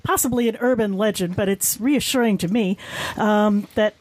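Woman host, easygoing, halting fillers, amused asides. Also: mid-range voice. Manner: relaxed, hesitant with fillers, light laughter. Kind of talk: casual interview chat.

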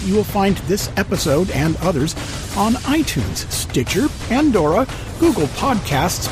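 A man hosting a radio show, interviewing and reading announcements. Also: a little high.

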